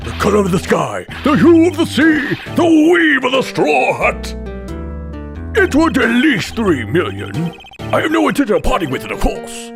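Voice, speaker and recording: A man's voice: very high-pitched, full character voice. A man voicing a pompous, blustering older male lawyer in a video game fan dub, theatrical, evasive and old-fashioned in his phrasing. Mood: proud, boastful.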